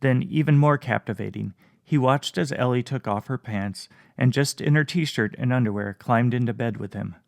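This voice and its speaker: Calm, measured male audiobook narrator, gravelly voice, dry close sound. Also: medium pitch, dry recording.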